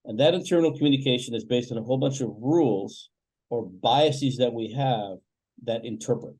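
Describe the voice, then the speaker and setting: A man from Canada, a family wealth coach, answering interview questions in a reflective, measured way with many fillers. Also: medium pitch, a little mellow, slightly rough, poor audio.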